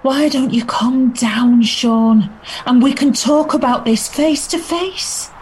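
A woman acts out a calm, persuasive detective inspector in an audio drama. Her voice is fairly high and very deliberate, steady and firm, over faint outdoor-style noise. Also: low-quality recording. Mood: calm, coaxing. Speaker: actor playing a detective inspector.

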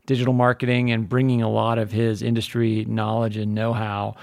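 A man's voice: on the low side, little pitch movement, fairly quick, vocal fry, darkish tone, no room sound. A man hosting a podcast, introducing his guest in an upbeat, chatty way with many fillers. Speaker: podcast host. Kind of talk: casual podcast interview.

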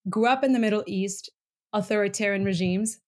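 A woman's voice: medium pitch, brisk, darkish tone. A woman from Venezuela and Bolivia, a podcast guest speaking earnestly and reflectively in a casual interview, with frequent ums. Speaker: podcast guest, human rights advocate.

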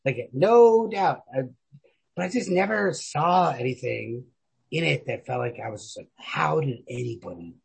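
Man, very husky voice, gravelly fry, slangy profane podcast chat, emphatic delivery. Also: mid-range voice, lively intonation, normal speed. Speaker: podcast host. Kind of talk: casual chat about literature.

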